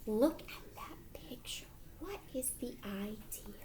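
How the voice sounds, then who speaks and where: A woman reading a picture book aloud to children, expressively, pausing to ask the young listeners questions. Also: on the high side, lively intonation, dark tone, whispered.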